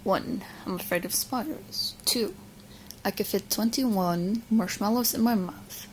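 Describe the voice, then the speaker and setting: A woman playing a sweet, clingy, lovestruck young witch in a soft, intimate ASMR roleplay, speaking in hesitant, doting phrases. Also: mid-range voice, a little slow, slight background noise, close to an everyday voice, poor audio. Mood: nervous, playful.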